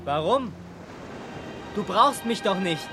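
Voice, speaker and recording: A man's voice: high voice, animated, slow, sharp tone, exaggerated voice. An actor playing a solemn, troubled young man in a German radio play, declaiming with intensity. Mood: warning, urgent. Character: Jonathan, solemn, troubled youth drawn to the sea. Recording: music under the voice.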